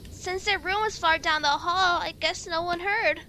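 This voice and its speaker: Woman playing a high, bright-voiced frightened girl. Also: steady tone, moderate pace. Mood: frightened, hesitant. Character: scared girl witness Tiffany, hesitant and guilty. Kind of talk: amateur puppet show acting. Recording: faint background noise.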